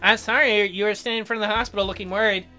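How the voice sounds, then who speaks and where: A mild young man from a visual novel, voiced by a woman, awkward and concerned. He speaks in a hesitant, low-key way with music playing behind. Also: steady tone, very fast, pushed and bright, close to an everyday voice.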